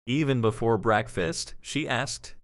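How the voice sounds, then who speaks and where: A man narrating a scripted dramatic story in a measured, expressive storytelling manner. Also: mid-range voice, dry recording, studio quality.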